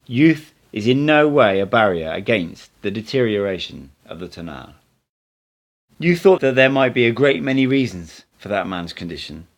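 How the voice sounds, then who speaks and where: A man's voice: lowish voice, sing-song, moderate pace. A man reading the part of an assured male teacher, speaking in a didactic, emphatic way in an audiobook. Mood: instructive, certain. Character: Don Juan, assured male teacher, instructing and correcting.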